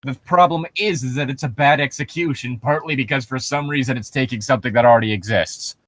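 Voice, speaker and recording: A man's voice: medium pitch, quick pace, a bit bright, dry recording. A man co-hosting a comedic podcast, chatting casually and reading aloud with a sardonic, profane manner. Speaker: podcast co-host. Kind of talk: casual comedic chat while reading horror stories aloud.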